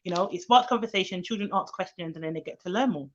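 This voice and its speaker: A woman, a nanny chatting casually on a live stream to her viewers. She rambles with many fillers and restarts, and her voice jumps high when she quotes children. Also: fast. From England, grew up in London. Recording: slightly dull lo-fi live-stream audio.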